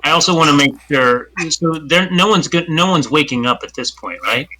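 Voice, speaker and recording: A man's voice: a little high, animated, moderate pace, sharp tone, low-quality recording. A man playing a tabletop game with friends, asking the game master questions as he thinks aloud. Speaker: player. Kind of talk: casual tabletop game chat.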